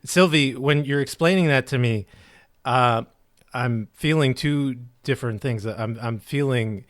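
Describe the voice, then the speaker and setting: A man hosting a podcast interview, introducing his guest with halting restarts. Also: mid-range voice, no echo, studio quality. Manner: halting, restarts, warm lead-in questions. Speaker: podcast host.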